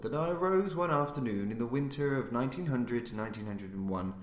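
A man reading classic horror fiction aloud as scripted audiobook narration, in a measured, formal storytelling manner. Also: medium pitch. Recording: roomy, echoey indoor sound.

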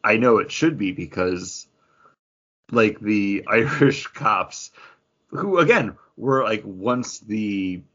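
A man co-hosting a podcast in casual chat, halting and full of fillers, often acting out imagined lines as he talks. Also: lowish voice, lively intonation, fairly bright tone, slightly rough, lo-fi sound. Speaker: podcast co-host reviewing comic books.

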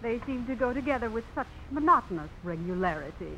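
An actress playing a coquettish, imperious young society belle in a radio drama, arch and playfully teasing. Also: female voice, on the high side, sing-song, quick pace, fairly bright tone, poor audio. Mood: wry. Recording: hissy old radio broadcast.

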